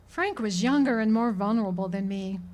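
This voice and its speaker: A woman's voice: medium pitch, fairly quick, a little mellow, close to an everyday voice. A woman playing a guilt-laden, defiant small-town woman in a radio drama, confessing with earnest, dramatic delivery. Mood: pleading confession. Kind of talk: radio drama acting, 1940s setting. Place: live amateur radio drama performance at an amphitheater.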